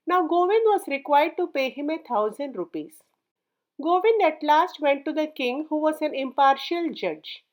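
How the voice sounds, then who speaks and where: A woman narrating a children's story from a script in a measured, clearly enunciated read-aloud manner. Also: high-pitched, rough recording.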